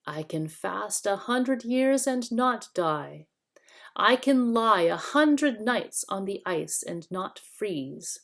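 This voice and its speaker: Lady reader doing a dull gray hungry monster, really slow and deliberate, cold storybook menace. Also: on the low side. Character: menacing creature of endless hunger, cold and relentless.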